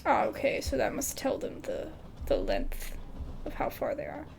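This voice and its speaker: A woman hosting a casual gaming video, reading game dialogue aloud haltingly and adding her own asides. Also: on the high side, huge pitch swings, vocal fry, darkish tone, slight background noise.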